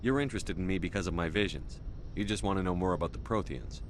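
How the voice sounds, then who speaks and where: A man playing a steady, reassuring starship commander in a video game, with plain, terse delivery. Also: sits low, quick pace, a little hiss or hum, close to an everyday voice. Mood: firm. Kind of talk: scripted video game acting.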